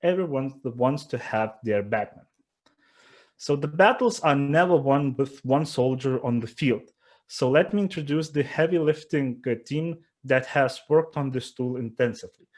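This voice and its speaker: Man, Lithuanian accent, startup pitch, earnest, hesitant restarts, medium pitch. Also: normal speed. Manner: earnest, with frequent fillers and restarts. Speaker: startup presenter. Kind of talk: pitch presentation.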